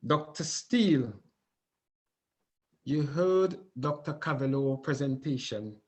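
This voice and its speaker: A man steers a panel session as its moderator, formal and unhurried. His voice sits at an ordinary middle pitch and he takes his time over each question. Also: lively intonation, darkish tone. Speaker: host and moderator.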